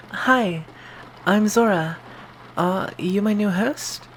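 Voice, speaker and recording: A timid young male zombie, acted by a woman for an ASMR roleplay. He is friendly and eager to please, speaking slowly and hesitantly, over a faint layer of noise. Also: mid-range voice. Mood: shy, happy.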